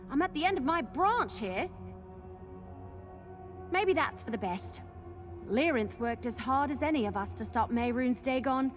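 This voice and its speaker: An actress playing an earnest, chatty young female wood elf archer in a video game, informal and expressive. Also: sits high. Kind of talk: video game character dialogue.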